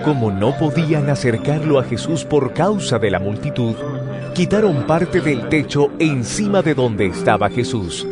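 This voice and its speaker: A man's voice: mid-range voice, lively intonation, a little slow. A man narrating scripture as scripted audio drama in a solemn storytelling manner. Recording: music bed behind the voice.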